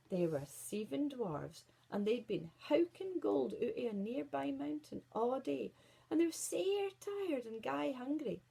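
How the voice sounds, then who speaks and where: Husky Scottish woman storyteller, very expressive. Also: fairly high pitch, rough recording. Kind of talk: expressive children's story reading in Scots.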